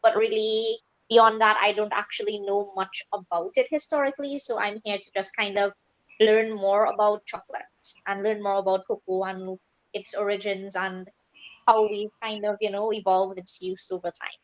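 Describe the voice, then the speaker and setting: A woman's voice: mid-range voice, steady tone, moderate pace, no room sound, highs cut off. A woman introducing herself casually on a group video call. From Trinidad.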